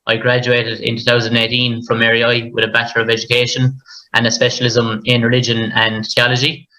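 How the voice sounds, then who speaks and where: A man in his twenties, a guest teacher, speaking plainly with halting repeats. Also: medium pitch, completely flat delivery, bright, forceful tone, poor audio. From Limerick, Ireland. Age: 20s. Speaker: guest, primary school teacher.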